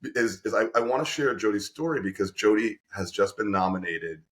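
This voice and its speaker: Guy hosting a podcast, lower voice, super eager, keeps restarting sentences. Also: a little fast. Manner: energetic, restarts often, effusive. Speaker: podcast host and company representative. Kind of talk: enthusiastic interview hosting.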